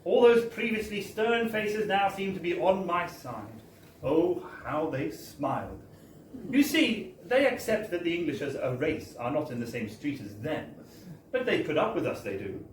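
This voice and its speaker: A man's voice: on the high side, lively intonation, unhurried. A man giving a wry, theatrical, mock-formal reading of a comic story at a live event. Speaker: reader of a humorous book. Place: bar, indoors, at a live book launch. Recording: echoey live bar recording, distant, faint noise.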